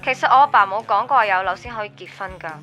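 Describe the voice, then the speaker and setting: A woman's voice: mid-range voice, fast talker, pushed and bright, soft background music, close to an everyday voice. An actress playing a demanding, firm young girlfriend with a Hong Kong accent in a radio drama. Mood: firm, resigned. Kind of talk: Cantonese radio drama acting.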